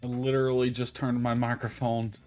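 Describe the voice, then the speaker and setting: A man streams a game in a casual, chatty way, talking through each small task as he goes. His voice sits at an average male pitch. Soft music plays underneath. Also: completely flat delivery, brisk. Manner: chatty, thinking aloud while playing. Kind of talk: casual livestream gameplay chat. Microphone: headset.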